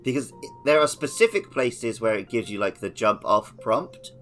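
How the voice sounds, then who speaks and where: A man's voice: medium pitch, animated, moderate pace, a bit bright, faint music behind. A young man co-hosting a casual gaming podcast, chatty and jokingly scolding his listeners. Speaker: podcast co-host.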